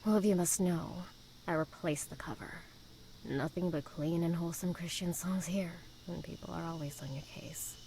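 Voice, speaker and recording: A woman's voice: on the low side, normal speed, dark tone, close to an everyday voice, low-quality recording. A woman playing a sardonic, rebellious young woman in a visual novel, dry and indifferent, with faint noise behind. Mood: dry, sly. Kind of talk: dramatic visual novel voice acting.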